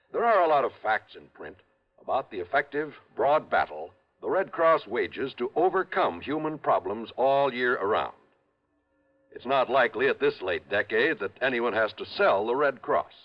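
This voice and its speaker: Casting: male American mid-century radio announcer, formal and polished, lively intonation, medium pitch. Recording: dull vintage radio recording.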